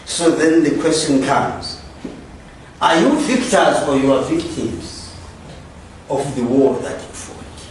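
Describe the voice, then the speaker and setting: Zimbabwean male lecturer, slightly high, very lively delivery, heard from afar in an echoey room. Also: slow pace. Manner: poses rhetorical questions, questions the audience directly. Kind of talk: public lecture to a live audience. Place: lecture hall. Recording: echoey hall, from far off, faint noise.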